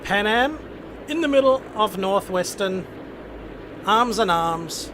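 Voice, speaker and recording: Male stream host, high voice, enthusiastic casual commentary, slightly noisy setup. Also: animated, slow pace. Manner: enthusiastic, repeats phrases for emphasis.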